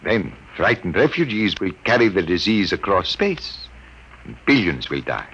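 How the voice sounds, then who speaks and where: A grave, frightened scientist. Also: male voice, deep voice, sing-song, moderate pace, a bit bright, slight background noise, exaggerated voice. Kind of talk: 1950s radio drama acting.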